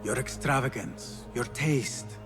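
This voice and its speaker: An actor playing a guarded, courteous young merchant in a video game, with a polite, understated delivery. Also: male voice, medium pitch, slow pace, close to an everyday voice. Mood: polite, probing. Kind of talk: restrained video game acting. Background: music.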